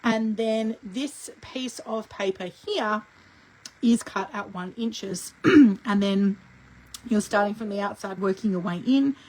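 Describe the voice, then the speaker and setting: A middle-aged woman with a croaky voice from a lingering cough, teaching a live scrapbooking tutorial in a chatty way and clearing her throat often. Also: mid-range voice, takes it slowly, a little mellow, poor audio. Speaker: scrapbooking instructor.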